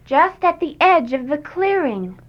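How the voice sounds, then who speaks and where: A woman doing a high, sweet little girl. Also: sing-song, a little slow. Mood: innocent. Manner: bright, polite and naive. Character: innocent trusting little girl. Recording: dull lo-fi storybook recording.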